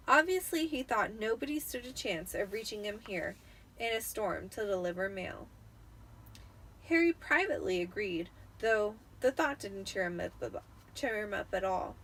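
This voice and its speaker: A tipsy woman reading a story aloud in an expressive, dramatic audiobook style, with the occasional stumble. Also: mid-range voice, rough recording. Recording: noticeable background noise.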